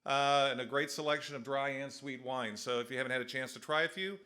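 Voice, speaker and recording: A man with a loud voice, welcoming a live audience to a winery, speaking casually with many "uh" fillers into a PA microphone. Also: mid-range voice, quick pace. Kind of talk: live event welcome announcement.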